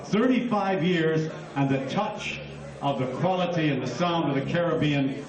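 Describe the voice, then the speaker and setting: A man's voice: a little high, normal speed. An older man announcing and reminiscing enthusiastically as emcee of a live concert. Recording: echoey live hall recording from far off. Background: audience crowd.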